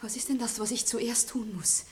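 A woman playing a timid, tender young bride-to-be in a lyrical radio play, soft and breathy. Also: fairly high pitch, steady tone, normal speed, a little hiss or hum, close to an everyday voice. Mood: shy wonder. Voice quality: soft, breathy, often half-whispered. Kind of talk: 1960s radio play acting, lyrical verse.

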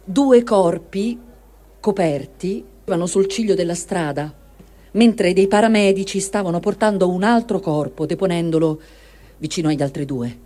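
A woman reading a first-person war diary aloud as a dramatic testimony, measured and expressive, with deliberate pauses. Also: on the low side. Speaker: reader of written testimony.

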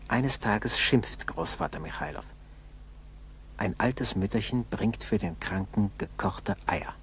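Male narrator, 1960s radio drama: measured, unhurried, dramatic pauses, lowish pitch, faint recording noise. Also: animated, a bit bright.

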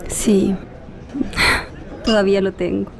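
A woman's voice: medium pitch, flat delivery, slow, creaky, dark tone. An actress playing a tender, nostalgic middle-aged Chilean mother back from exile, reminiscing in a radio drama. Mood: fond, nostalgic.

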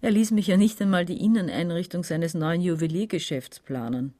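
An actress playing a resentful, calculating daughter in her forties, giving a measured, confessional radio-drama monologue. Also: on the low side, mellow, close to an everyday voice. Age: middle-aged. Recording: ambient noise behind the voice.

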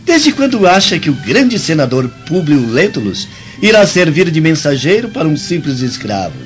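A man's voice: fairly high pitch, very animated, normal speed, faint music behind. An actor playing a haughty young Roman nobleman in a radio drama, commanding and condescending in a theatrical way. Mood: imperious. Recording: dull lo-fi radio drama recording.

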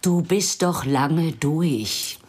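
A woman acting in a radio crime drama as a sardonic, no-nonsense policewoman, terse and dry with a husky voice, in a naturalistic style. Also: deep voice, animated, very slow, darkish tone, a little hiss or hum, exaggerated voice. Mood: prodding.

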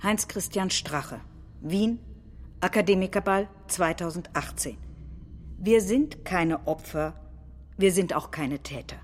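A woman recites scripted quotations in documentary-theatre style. Her voice is a little low and her phrasing emphatic and declamatory. There is faint ambient noise behind her. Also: takes it slowly. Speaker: stage reader of political quotations.

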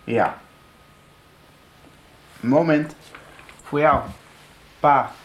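An actor playing a withdrawn, sarcastic teenage son in a radio soap. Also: male voice, on the high side, extremely slow. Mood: sardonic, irritated.

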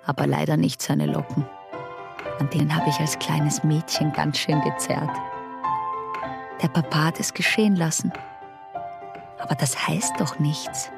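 Low, creaky brooding young daughter. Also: female voice, fairly even intonation, measured pace, long pauses, over music, full character voice. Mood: wry observing. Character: observant, defensive young daughter, privately brooding. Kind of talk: intimate inner monologue in radio drama.

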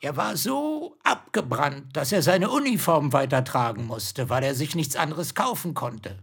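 An actor playing a shady, sentimental old businessman in a radio play, raspy and self-important, with drawn-out theatrical delivery. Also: on the high side, sing-song, fairly bright tone, heavily acted. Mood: wistful. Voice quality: raspy, creaky.

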